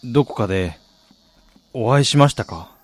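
男性が演じる物思いに沈む中年男の語り. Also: male voice, mid-range voice, lively intonation, normal speed, no room sound, natural voice. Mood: uneasy, reflective. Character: weary middle-aged failed businessman narrating his regretful past. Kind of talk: radio drama first-person narration. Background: music.

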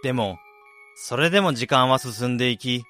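A man's voice: medium pitch, normal speed, sharp tone, soft background music, close to an everyday voice. A man, around his thirties, playing a wistful, blunt, introspective man in a radio drama and narrating his reflections. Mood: reflective narration. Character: wistful man unsure whether he became an ideal adult.

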